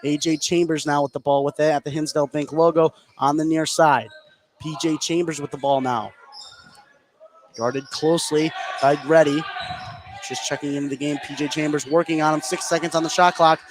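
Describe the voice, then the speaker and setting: A man with a fairly high-pitched voice calls a basketball game live as play-by-play announcer. He follows the action in running, conversational fragments. Clear gym ambience noise sits behind his voice. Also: steady tone, normal speed. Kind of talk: live basketball radio commentary.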